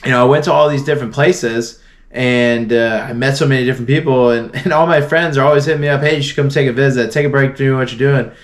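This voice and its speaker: A young man from Florida speaks to his viewers in a relaxed, earnest way. He rambles a little, restarting sentences and dropping fillers as he works through his thoughts, with a voice of middling pitch. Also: fast. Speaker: vlogger. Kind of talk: casual motivational talk to camera.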